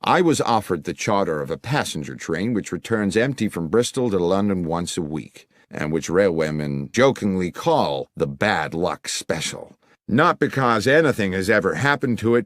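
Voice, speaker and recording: A man's voice: deep voice, dry recording, studio quality. A man voicing a formal, wordy middle-aged businessman client who is fond of puns, in an audiobook. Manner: formal, long-winded, jovially self-amused. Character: anxious middle-aged businessman client, verbose, pun-loving. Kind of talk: audiobook character dialogue.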